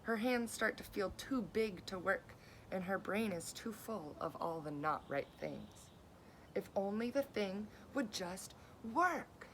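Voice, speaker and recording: Over clear background noise, a woman with a mid-pitched voice reads a picture book aloud. She sounds like a teacher addressing young children. Her phrasing is expressive and deliberate, shaped for story time.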